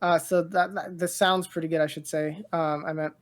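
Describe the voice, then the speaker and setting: A woman co-hosting a casual pop culture podcast, rambling and halting as she thinks aloud. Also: deep voice, level tone. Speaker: podcast co-host.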